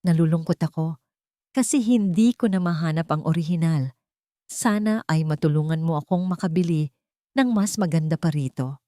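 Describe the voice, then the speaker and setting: A woman's voice: low-pitched, normal speed, mellow, dry recording, studio quality, close to an everyday voice. A woman voicing a sweet-faced, ambitious, scheming fiancée in an audiobook drama. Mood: sweetly coaxing. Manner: sugary, evasive, secretly haughty.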